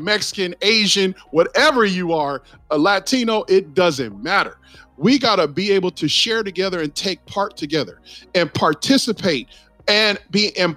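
High-voiced man preaching a sermon. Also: no echo. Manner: emphatic, addresses listeners as ladies and gentlemen.

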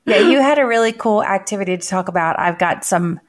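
A woman co-hosting a chatty podcast, her voice still raspy from a bout of laryngitis. She talks quickly and loosely, with plenty of fillers and restarts, and her pitch sits in a middle range. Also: expressive. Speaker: podcast co-host. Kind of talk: casual chat.

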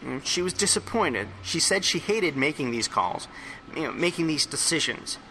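A man playing an excitable, hungover rambler in an audio drama monologue, stammering, repeating and correcting himself as he confides. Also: on the high side, a little hiss or hum, rough recording. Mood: earnest, agitated. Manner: halting, repetitive, self-correcting rambling.

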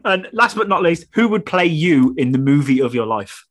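A man's voice: on the high side, very animated, moderate pace, fairly bright tone. A man hosting a show, casually putting questions to a guest. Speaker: show host, interviewer. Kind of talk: casual interviewing.

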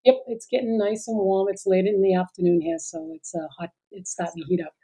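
A woman's voice: medium pitch, steady tone, a little fast, warm tone, slightly rough, low-quality recording. A middle-aged woman co-hosting a podcast in casual chat, talking hesitantly with many fillers and trailing sentences. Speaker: podcast co-host.

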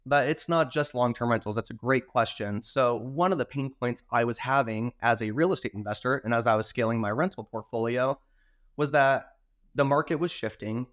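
A man with a hoarse, slightly worn voice speaks at an ordinary pitch. He explains his work in a confident, steady flow, as a guest talking on a podcast. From Arizona. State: hoarse from recent illness. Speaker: podcast guest, property management owner. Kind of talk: casual interview answers.

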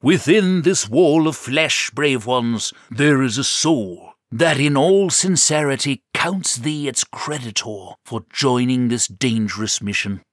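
A man's voice: medium pitch, expressive, no room sound, studio quality. A man doing a pompous old-fashioned knight. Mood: solemn, rousing. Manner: grandiose, archaic, slow and declaiming. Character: bold, pompous male knight speaking olde English.

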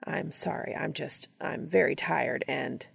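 A woman's voice: sits low, measured pace, gravelly, slightly rough, no room sound, clean studio sound, pitched below the performer's own voice, exaggerated voice. A woman voicing an awkward, anxious, apologetic man in a storybook narration, speaking in a hesitant, faltering way. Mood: nervous, apologetic.

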